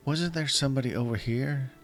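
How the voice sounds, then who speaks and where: A man with a slightly scratchy voice, casually commenting while he plays a strategy game and thinking aloud in halting, self-correcting phrases. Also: mid-range voice. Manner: thinks aloud, halting, restarts mid-sentence. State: slightly scratchy voice from illness and yelling. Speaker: gaming channel host. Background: faint game music.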